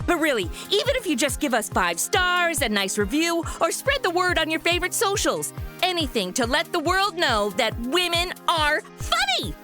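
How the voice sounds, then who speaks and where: A woman's voice: extremely high pitch, very animated, normal speed, bright, forceful tone, faint music behind. A woman speaking as a show host in a scripted, peppy influencer style.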